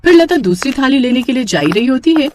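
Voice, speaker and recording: A woman's voice: sits high, sing-song, fast, a little mellow, slight background noise. A woman narrating a scripted moral tale as a storyteller.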